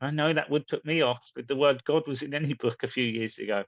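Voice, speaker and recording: A man's voice: medium pitch, fast talker, a bit bright, dry recording, lo-fi sound. A man talking casually and earnestly to viewers as a coach recommending something, in short emphatic phrases. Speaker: spiritual coach and video host.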